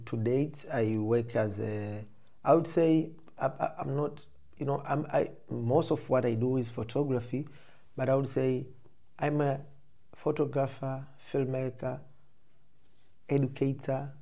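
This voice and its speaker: A man's voice: mid-range voice, fairly even intonation, measured pace, dark tone. A man with a Tanzanian accent answering interview questions conversationally, reflective and often rephrasing as he explains. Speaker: interview guest, photographer and filmmaker.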